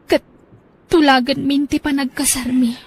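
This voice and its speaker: A high-voiced young woman, Josie, acted by a woman, pleads earnestly in a radio drama. Her speech is steady, with a faint hiss of noise behind it. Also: moderate pace. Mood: pleading. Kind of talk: Ilocano radio drama acting.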